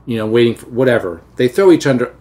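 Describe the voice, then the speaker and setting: A middle-aged man with a Southern American lilt hosts a casual interview at an easy pace. He talks loosely and warmly about teaching, weaving in little stories. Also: medium pitch, fast talker. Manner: relaxed, chatty, tells anecdotes. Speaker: podcast host and teacher.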